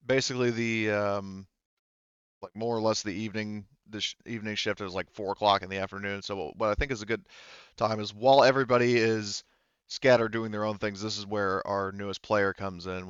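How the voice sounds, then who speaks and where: Halting low-voiced man as game master. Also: fairly bright tone, smooth, dry recording, muffled recording. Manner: halting, thinking aloud. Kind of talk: recapping a tabletop roleplaying session.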